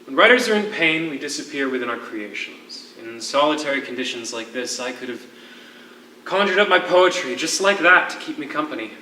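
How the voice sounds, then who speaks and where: Anguished jailed poet played by a man, theatrical, deliberate and broken by grief. Distant mic, noisy recording. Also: fairly high pitch, animated, bright, forceful tone. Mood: haunted grief. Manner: deliberate, drawn-out phrasing with dramatic pauses. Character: anguished imprisoned poet, a grieving, defeated widower. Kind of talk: theatrical stage acting, dramatic monologue. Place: theatre stage, miked from a distance. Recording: echoey, noisy stage recording from far off.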